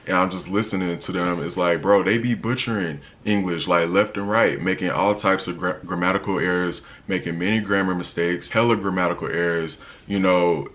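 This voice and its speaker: Young dude with a real low voice, chill slangy vlog talk, lots of "you know". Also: male voice, steady tone, brisk, few pauses, a bit bright. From United States. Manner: laid-back slangy talk, many fillers. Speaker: travel vlogger. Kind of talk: casual opinion vlog.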